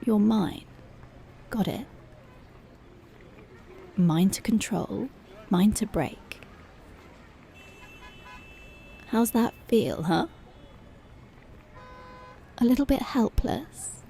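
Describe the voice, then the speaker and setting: A woman playing a strict, dominant female police officer in an ASMR roleplay, slow, taunting and intimate, often slipping into whispers. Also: mid-range voice, lively intonation, vocal fry, mellow, slight background noise, heavily acted. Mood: commanding.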